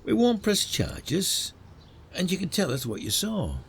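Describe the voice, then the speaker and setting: A man's voice: high voice, wildly swooping pitch, a little slow, breathy edge, heavily acted. A man playing an older retired detective in an audio drama, a frank, coaxing village sleuth. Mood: coaxing, earnest.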